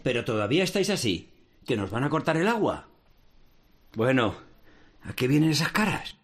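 Flustered father, husky, hesitant, lively pitch, radio drama. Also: moderate pace.

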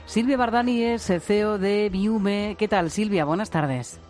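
A radio host with a mid-range voice runs the interview at a brisk clip, with faint music playing behind. The host keeps the guest going with quick "ujú"s and short questions. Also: medium pitch, a little mellow.

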